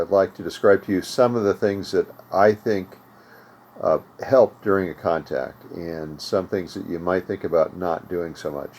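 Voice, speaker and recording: An older American man speaks in a deep, low register as he teaches informally. His explanations come in measured, halting stretches with pauses between thoughts. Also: a little mellow, a little hiss or hum. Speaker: host of amateur radio tutorial series. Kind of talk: informal instructional talk. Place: home radio room.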